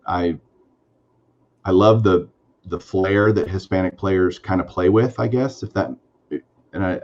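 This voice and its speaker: A man's voice: low voice, muffled recording. A man co-hosting a sports card podcast, talking casually and enthusiastically with lots of fillers. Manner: enthusiastic, rambling with frequent fillers. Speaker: podcast co-host.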